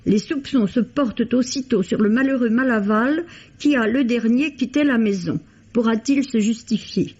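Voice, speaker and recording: Woman critic, formal measured reading, slightly high voice, dull vintage radio recording. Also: a little mellow. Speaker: literary critic. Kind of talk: scripted book review on radio.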